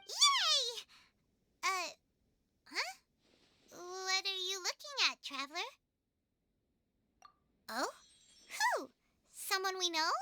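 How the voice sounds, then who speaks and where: A woman voicing a tiny, excitable and curious companion creature in a video game, with a squeaky childlike voice. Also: extremely slow, pauses often, slight background noise, full character voice. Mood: excited, curious. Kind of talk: cartoonish game voice acting.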